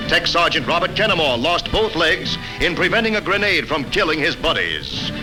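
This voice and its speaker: Animated American newsreel announcer over music. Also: male voice, a little high, normal speed, rough recording. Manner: formal, emphatic announcer delivery. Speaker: newsreel narrator. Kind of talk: 1950s newsreel narration. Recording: vintage newsreel soundtrack with music behind.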